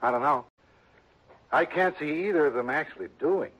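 A man's voice: a little high, a little fast, a bit bright. An actor playing a lazy, blunt man who inherits from a dead relative, drawling and defensive, in a 1960s radio drama. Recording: dull lo-fi old radio broadcast.

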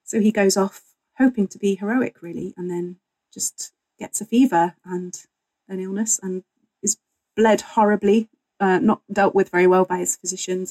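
A woman's voice: mid-range voice, a little mellow, rough recording. A woman speaking as a guest author and historian, explaining things in a casual, informal, slightly wry way.

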